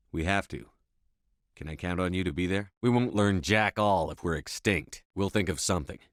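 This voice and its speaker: A man acting a stern, steady space-navy commander in a video game, with a measured, dry, authoritative delivery. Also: low-pitched, no echo. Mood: grave, determined.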